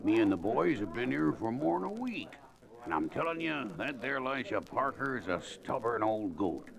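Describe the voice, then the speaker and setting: A man's voice: medium pitch, big pitch swings, normal speed, creaky. An actor playing a crude, quarrelsome American gunslinger henchman in an old-time radio Western, talking in folksy slang. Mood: servile, folksy. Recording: vintage radio recording, crowd noise behind.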